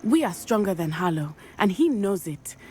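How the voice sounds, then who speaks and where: A woman's voice: mid-range voice, big pitch swings, normal speed, darkish tone, a little hiss or hum, natural voice. An actress playing an earnest, determined young student witch with a precise, formal way of speaking. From Matabeleland. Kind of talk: video game voice acting.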